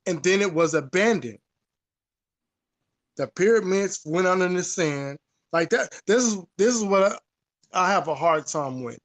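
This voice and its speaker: A man with a high-pitched voice talks in a casual, unscripted discussion. He is emphatic and informal, often repeating his phrases and using some salty language. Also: lively intonation, measured pace, pauses often.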